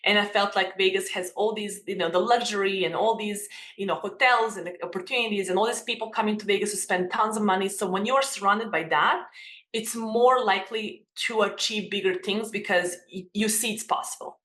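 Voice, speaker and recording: A woman's voice: mid-range voice, a little fast, fairly bright tone. A woman in her thirties with a Serbian accent, a makeup academy owner talking openly about her life as a guest in a casual interview over a video call. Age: 30s. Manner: open, earnest, restarts her phrases. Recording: echoey room.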